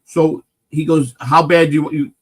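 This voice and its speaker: A man with a naturally loud voice, hosting a casual morning live stream and rambling through a personal story with lots of swearing and restarts. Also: on the high side, fairly even intonation, moderate pace, husky. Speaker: morning show host telling a personal story.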